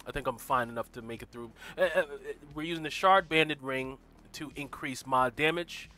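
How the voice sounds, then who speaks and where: A man hosting a gaming livestream in casual commentary, greeting viewers by name and walking through his build, a little stuffy from a cold and clearing his throat now and then. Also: mid-range voice, normal speed, bright, forceful tone. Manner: walks through game build details. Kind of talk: casual live gameplay commentary.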